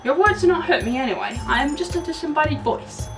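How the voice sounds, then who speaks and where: Woman putting on a high, prim computer butler. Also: lively intonation, fast, a bit bright, soft background music. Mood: polite. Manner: courteous, formal, mild. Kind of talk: comic amateur storybook reading.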